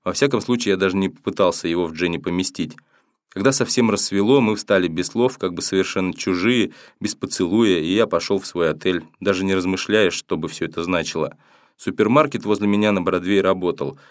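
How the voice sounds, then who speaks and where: Male audiobook narrator, low voice, steady matter-of-fact first-person read, dry close recording. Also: brisk, no room sound. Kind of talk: first-person novel reading.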